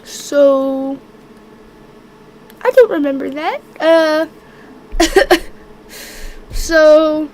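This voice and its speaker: A woman running a tabletop game on stream, halting and clearing her throat as she narrates while sick and losing her voice. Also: high-pitched, a little mellow, rough recording. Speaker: game master.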